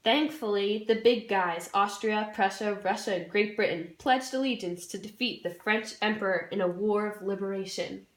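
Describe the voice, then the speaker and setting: A woman's voice: mid-range voice, normal speed, hardly any pauses. Jokey young woman in an echoey room. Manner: jokey, informal. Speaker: student presenter. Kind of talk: scripted history skit, casual wisecracks. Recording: roomy, echoey room sound.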